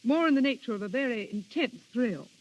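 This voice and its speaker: An actress playing a thrill-loving, excitable society lady in a vintage radio drama, gushing and theatrical. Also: fairly high pitch, brisk, highs cut off, natural voice. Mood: amused.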